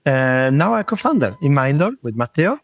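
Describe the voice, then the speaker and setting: A man's voice: mid-range voice, big pitch swings, moderate pace, no room sound. A man with an Italian accent, a startup co-founder guest, speaking modestly in short, plain sentences. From Italy. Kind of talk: casual interview talk.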